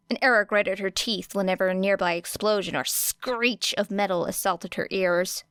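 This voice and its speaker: A woman reading a fiction audiobook as narrator, with expressive scripted delivery. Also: medium pitch, clear, resonant tone, no echo, clean studio sound.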